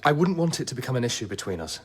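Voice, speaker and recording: Male actor as guarded doctor, medium pitch, rapid delivery, terse, polite, radio drama, faint noise. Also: big pitch swings, natural voice. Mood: awkward. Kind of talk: BBC radio drama acting.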